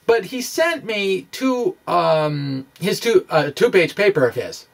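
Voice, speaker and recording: A man giving an informal explanatory physics monologue in a halting, self-deprecating, conversational way. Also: high-pitched, very animated, creaky, distant mic. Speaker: amateur physics video blogger.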